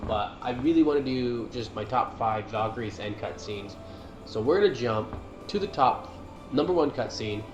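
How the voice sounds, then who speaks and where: Man doing casual commentary in a roomy echo. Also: mid-range voice. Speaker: video creator commenting on game cutscenes. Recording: roomy echo, music behind. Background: game cutscene music.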